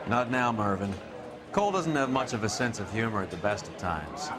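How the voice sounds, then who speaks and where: An actor playing a cynical veteran 1940s detective, blunt and dryly wry, in a game cutscene. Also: male voice, lowish voice, big pitch swings, a little fast, background noise. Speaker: detective partner.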